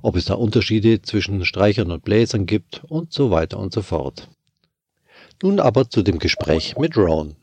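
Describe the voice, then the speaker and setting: Bavarian man hosting, husky low voice. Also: lively intonation, moderate pace, a little mellow. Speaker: podcast host, orchestra violist. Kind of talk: scripted intro then casual chat.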